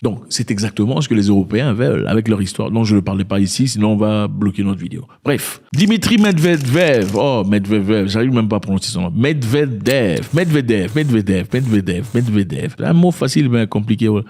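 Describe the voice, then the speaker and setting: A man's voice: lowish voice, animated, a little fast, hardly any pauses, darkish tone. A man, not a native French speaker, casually explaining politics to viewers, often turning to ask if they follow him. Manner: repeats and restarts words, addresses viewers directly. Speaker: political commentator.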